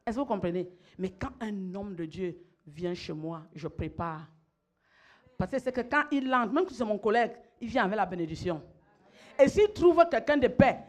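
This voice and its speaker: A middle-aged woman pastor, preaching emphatically, repeating phrases and calling on her listeners to respond. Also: on the high side. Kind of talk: sermon.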